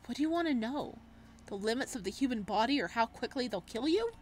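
A woman's voice: on the high side, lively intonation, a little fast, slight background noise, natural voice. A woman voicing a blunt, caring, exasperated university student in a visual novel.

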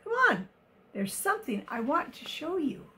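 Woman voicing a playful elephant, high and lively. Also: normal speed, full character voice. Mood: eager, inviting. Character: Elmer, playful mischievous elephant, eager leader.

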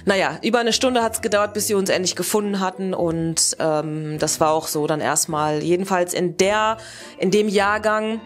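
A woman's voice: on the low side, steady tone, moderate pace. A young adult woman casually presenting and telling stories to camera, rambling and correcting herself as she goes. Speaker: YouTube presenter. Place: indoor room. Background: background music.

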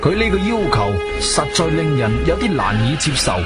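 A man with a Cantonese accent acting a shrewd, firm investigator hero who narrates his own adventure in an expressive audio drama style. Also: a little high, normal speed. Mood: gripping, suspenseful. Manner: expressive, emphatic storytelling. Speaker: narrator and lead actor. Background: background music.

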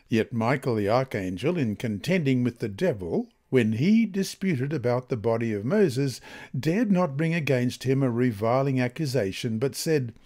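Expressive, mellow man reading devotions. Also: medium pitch. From Australia. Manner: measured, reverent, deliberate phrasing. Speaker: Bible lesson reader. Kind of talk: scripted devotional narration and prayer.

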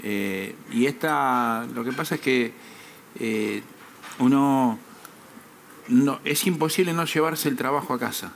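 Serious man, measured. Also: mid-range voice, gravelly, a little hiss or hum. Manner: serious, measured, hesitant with fillers. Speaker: talk show guest. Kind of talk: earnest interview answers. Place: TV studio.

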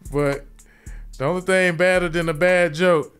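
A laid-back man in his thirties hosting a podcast into a studio mic, his voice smooth and a bit high. He muses casually and chuckles at his own jokes, with faint music behind him. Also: steady tone, a little slow, a bit bright. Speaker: podcast host. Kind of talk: casual commentary. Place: small home studio. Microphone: studio mic with headphones.

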